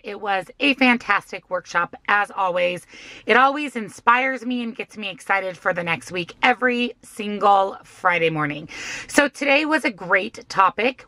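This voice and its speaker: A woman in her thirties with a hoarse voice vlogs from the driver's seat of a parked car, talking straight into a phone held at arm's length. She is chatty, upbeat and emphatic, at a middling pitch. The sound is dry and close, with no room echo. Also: bright, forceful tone. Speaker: weight-loss vlogger. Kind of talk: casual vlog chat to camera. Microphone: phone camera at arm's length.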